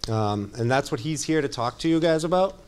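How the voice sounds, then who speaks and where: A man's voice: a little high, expressive, a little fast, darkish tone, smooth. A man speaking earnestly and informally to his church, sharing testimony as he introduces a guest. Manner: earnest, conversational.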